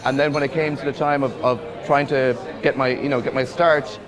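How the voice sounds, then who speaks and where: A man's voice: mid-range voice, fairly even intonation, fairly quick, low-quality recording. Irish man, director in an interview; reflective, restarts a lot; crowd noise behind. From Ireland. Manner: thoughtful, repeats words while searching for phrasing. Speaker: film director. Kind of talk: festival interview.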